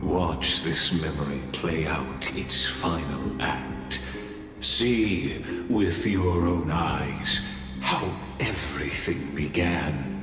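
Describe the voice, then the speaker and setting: A solemn game narrator who foretells doom. The voice is low and extremely slow, grave and theatrical, ringing in long reverb over music. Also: male voice, heavily acted. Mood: grave, bitter. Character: solemn, ominous male narrator foretelling a doomed hero. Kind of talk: dramatic scripted game narration. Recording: echoey long reverb under loud music.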